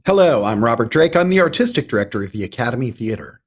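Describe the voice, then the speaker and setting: Middle-aged male interview guest, mid-range slightly rough voice, measured, emphatic phrasing. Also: mid-range voice, big pitch swings. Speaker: interview guest, theater artistic director. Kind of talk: conversational interview answers.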